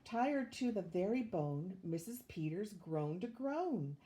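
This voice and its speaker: An older woman reading a rhyming children's picture book aloud with measured, expressive storytelling. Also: medium pitch. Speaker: volunteer storybook reader, retired teacher.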